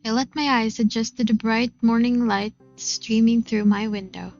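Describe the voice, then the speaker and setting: A woman reading a visual novel aloud in an expressive, unhurried first-person narration. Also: on the high side, highs cut off. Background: game music.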